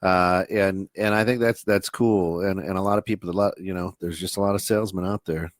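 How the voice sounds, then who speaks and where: A man's voice: deep voice, steady tone, brisk, no echo, clean studio sound. A man with a Midwestern American accent co-hosting a business podcast, talking in a loose, chatty way with many restarts. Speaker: podcast co-host. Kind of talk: casual business chat. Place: studio.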